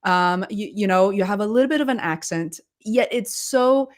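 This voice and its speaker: An enthusiastic woman hosts a livestream interview over a video call. Her voice sits at a mid pitch. She talks in an effusive, conversational way. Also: animated, moderate pace. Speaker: livestream host.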